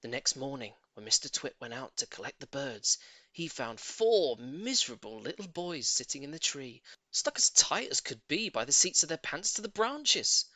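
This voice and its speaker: Animated male children's storyteller, bright voice. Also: medium pitch, normal speed, dry recording. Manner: theatrical, emphatic storytelling. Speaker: audiobook narrator. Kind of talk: expressive scripted narration of a children's story.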